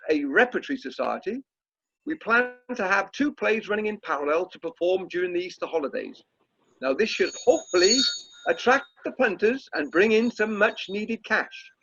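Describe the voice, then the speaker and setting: A man's voice: sits high, a bit bright, heavily acted. A man playing a pompous, easily exasperated drama society chairman with a British accent, in an amateur radio play. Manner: pompous, self-important. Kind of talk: amateur radio play acting, speech-making. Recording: dull online call audio.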